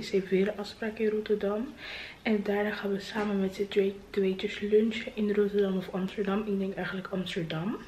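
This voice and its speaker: A woman's voice: medium pitch, a little mellow, roomy sound. Young woman vlogging, noisy recording. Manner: informal, mixing in English slang. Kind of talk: casual vlog chat to camera. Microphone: camera at arm's length.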